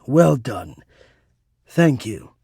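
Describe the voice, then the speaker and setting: A man voicing an anxious, melodramatic, yearning male game character who hides away, with florid, halting, theatrical speech. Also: mid-range voice, very animated, gravelly, mellow, husky, no room sound, studio quality, full character voice. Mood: grateful, wistful. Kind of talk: video game character acting.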